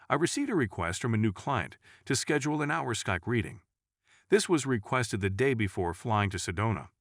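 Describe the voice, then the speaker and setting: Male narrator for scripted paranormal stories. Lowish register, animated melody, dry recording. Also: no echo. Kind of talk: scripted first-person story narration.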